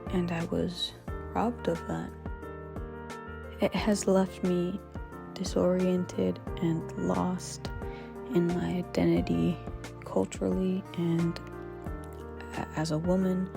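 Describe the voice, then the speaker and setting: A young woman from Ecuador narrating a personal documentary vlog in a soft, confessional way, often close to a whisper. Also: lowish voice, little pitch movement, slow, gravelly, long pauses, music in the background. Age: young adult. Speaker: vlogger.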